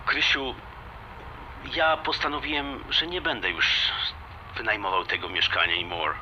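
A man's voice: on the low side, steady tone, normal speed, sharp tone, muffled recording, a little hiss or hum. A man playing an enthusiastic, romantic suitor in an audio drama, talking colloquially with bits of English mixed in. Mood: persuasive. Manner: eager, colloquial, mixes in English words. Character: enthusiastic, romantic suitor Jasiek, sure fate joins him.

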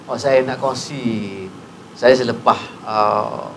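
A man's voice: medium pitch, steady tone, takes it slowly, slight background noise. A retired man in his sixties with a Patani Malay accent, earnestly explaining a point in a studio interview. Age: 60s. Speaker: guest. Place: TV studio set, on a couch. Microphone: lapel mic.

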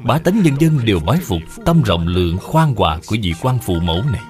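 A man's voice: on the low side, sing-song, brisk. A man reading scripted storybook narration for an educational cartoon. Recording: music under the voice. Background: background music.

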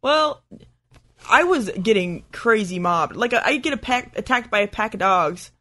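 Bright, high-voiced man chatting. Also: expressive, measured pace. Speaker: podcast co-host. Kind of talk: casual chat about video games.

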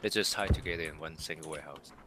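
A man from Macau, a teammate on voice chat, teasing deadpan during a game. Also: very deep voice, fast talker, background noise. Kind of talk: casual gaming chat.

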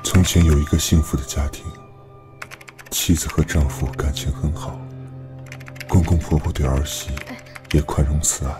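A man's voice: extremely low pitch, steady tone, normal speed, pauses often, music in the background. A man playing a grave, solemn storyteller narrating an audio drama. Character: grave storyteller recounting a family's dark past. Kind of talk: slow-building scripted narration in an audio drama.

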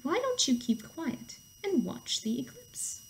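A woman voicing a calm, wise turtle in a storybook, speaking slowly and patiently in a soft, hushed manner. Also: a little high, slight background noise.

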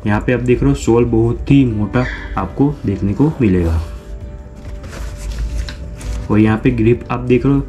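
Young male unboxing host, mid-pitched and mellow, chatty and repetitive, talks straight to viewers. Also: dull audio. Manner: repetitive, explanatory, addresses viewers as friends. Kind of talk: product unboxing narration. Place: small room indoors.

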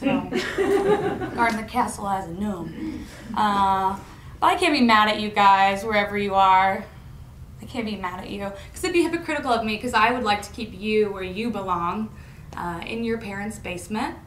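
A woman's voice: medium pitch, moderate pace. Young woman doing stand-up in an echoey hall. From Indiana, US. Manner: deadpan, self-mocking, laughs at her own jokes. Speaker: stand-up comedian. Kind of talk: stand-up comedy set. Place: college lecture hall stage. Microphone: far camera in the room. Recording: echoey hall, audible room noise.

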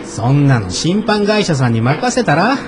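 An actor playing a flustered, grumbling office worker in a naturalistic radio drama. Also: male voice, on the high side, very animated, moderate pace, music in the background. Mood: puzzled, downbeat. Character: flustered, grumbling adult male office worker, once class leader.

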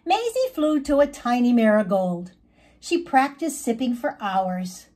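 A woman reading a children's story aloud as narrator, in an expressive storybook style. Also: a little high, measured pace, slight background noise.

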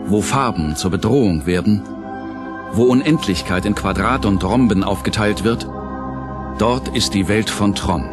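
A man narrating an audio drama from a script in a solemn, measured storytelling manner. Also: fairly low pitch. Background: prominent music.

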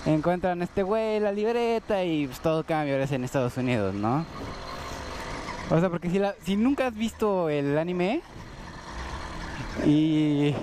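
High-voiced Mexican man vlogging while riding. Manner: slangy, rambling. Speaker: motovlogger. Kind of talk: casual chat while riding. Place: outdoors on a street, riding a motorized bicycle. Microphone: action camera worn by the rider. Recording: loud outdoor vehicle and street noise.

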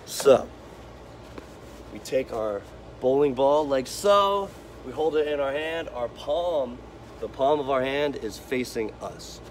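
A young American man coaches kids in a casual instructional video. His voice sits at an average male pitch, recorded on a phone outside in a backyard with faint ambient noise behind him. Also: expressive, slow pace. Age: 20s. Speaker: sports coach. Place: outdoor backyard. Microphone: phone, at arm's length, then farther away.

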